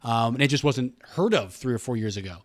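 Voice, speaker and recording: A man's voice: mid-range voice, expressive, fast, no room sound. Middle-aged American man, close dry sound. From Pennsylvania, United States. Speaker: radio station communications director, podcast guest. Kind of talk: casual interview conversation.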